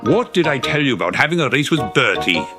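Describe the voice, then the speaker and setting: A man's voice: mid-range voice, sing-song, fast talker, loud music behind the voice. A man voicing a stern but fair railway controller in a cartoon dub, formal and authoritative. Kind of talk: animated character dub.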